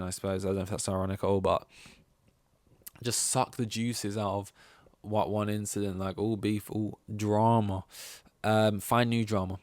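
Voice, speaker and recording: A man's voice: fairly low pitch, no echo. A man hosting a podcast, giving casual, opinionated sports rankings in a rambling, sweary and sarcastic way. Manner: rambling, sweary, sarcastic, acts out mock quotes. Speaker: podcast host.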